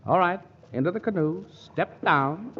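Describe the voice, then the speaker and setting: A wisecracking lawyer. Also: male voice, sits high, expressive, a little slow. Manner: breezy, wisecracking. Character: brash wisecracking male lawyer Malone. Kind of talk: 1940s radio comedy acting. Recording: dull old radio broadcast, faint hiss.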